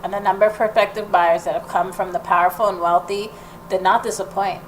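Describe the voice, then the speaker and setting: A woman hosting a gaming video, reading the story narration aloud and adding expressive reactions of her own. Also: medium pitch, fairly quick, rough recording. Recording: noticeable background noise.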